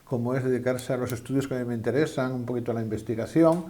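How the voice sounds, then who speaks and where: A retired older man from Asturias answers questions as an interview guest. His voice is dark and mellow. He hesitates and restarts often, and he plays down his own achievements. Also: medium pitch, fast talker. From Asturias, Spain. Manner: modest, hesitant, self-deprecating. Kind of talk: conversational interview.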